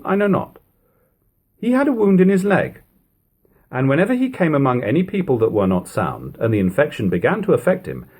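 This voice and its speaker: A man narrates an old-fashioned first-person account as an audiobook reader. His voice is husky and sits at an ordinary male pitch. He reads deliberately and with emphasis, rising and falling widely across the long literary sentences. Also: darkish tone.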